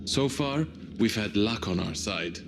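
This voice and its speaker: An actor playing a reflective, hopeful widowed space crewman in a cinematic game, speaking with restraint in a low gravelly voice. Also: animated, measured pace, noisy background. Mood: wistful, sincere. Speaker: video game character, spacecraft crew member.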